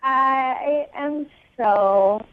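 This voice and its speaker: A woman's voice: sits high, extremely slow, narrow, tinny audio, over loud music. A woman on a radio phone segment, talking informally in an apologetic, pleading way. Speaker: radio show phone guest.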